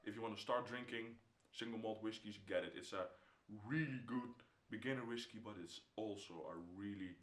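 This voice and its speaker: Young Dutch-accented guy, lowish voice, relaxed home whiskey review, camera mic in a roomy-sounding room. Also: fairly quick, hardly any pauses. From Netherlands. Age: young adult. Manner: relaxed, repeats words, drops to a whisper while tasting. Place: indoor home room, seated in armchair.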